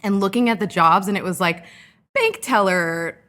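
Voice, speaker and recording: A woman in her thirties from California, a startup founder speaking as a guest in a podcast interview, reflective and animated in her anecdotes, with frequent fillers. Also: mid-range voice, normal speed. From California, Orange County. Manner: reflective, lively when telling anecdotes, frequent "um" and "like". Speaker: guest entrepreneur.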